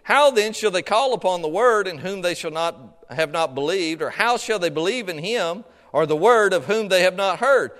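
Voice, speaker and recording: A middle-aged man preaches in a high-pitched voice that rings through a long hall reverb. His phrasing is folksy and colloquial. He exhorts the congregation, often checking in with questions and amens. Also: animated, normal speed, few pauses, a bit bright. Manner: exhortatory, punctuates points with amen and questions to congregation. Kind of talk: sermon. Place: preaching to a congregation in a large room.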